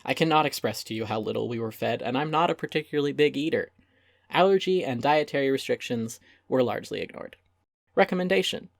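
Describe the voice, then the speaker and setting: A man doing a dramatic reading of a written piece, theatrical and emphatic, with deliberate pauses. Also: medium pitch, animated, gravelly, dry recording, studio quality. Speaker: reader and channel narrator.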